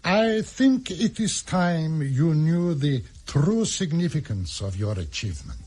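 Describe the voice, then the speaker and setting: A man playing a suave, self-satisfied Soviet ambassador in a radio drama, with a husky voice and formal, pompous delivery. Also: a little high, big pitch swings, measured pace, darkish tone. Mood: grandly satisfied.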